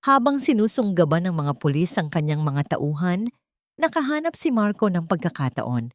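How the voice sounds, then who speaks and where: A woman's voice: fairly low pitch, darkish tone, no echo, clean studio sound. A woman reading a scripted, dramatic first-person story as an audio drama narrator.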